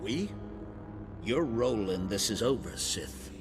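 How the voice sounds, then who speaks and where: A hard-edged, suspicious Jedi master in a game. The speech is low, deliberate and scornful, ringing in a long reverb. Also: male voice, very animated, noisy background. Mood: scornful, hostile. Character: stern, distrustful male Jedi master. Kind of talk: video game cutscene acting. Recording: reverberant hall, loud music behind.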